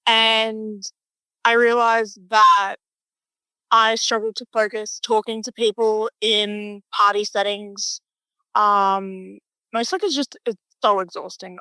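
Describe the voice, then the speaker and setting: A woman's voice: fairly high pitch, pushed and bright, clean tone, dry recording, rough recording. A woman talking casually and unscripted as an interviewee. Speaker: interviewee, group member.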